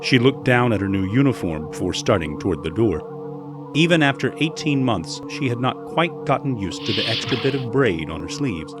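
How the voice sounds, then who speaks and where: A man's voice: mid-range voice, very animated, moderate pace, over music. A man reading scripted third-person narration for an audio drama.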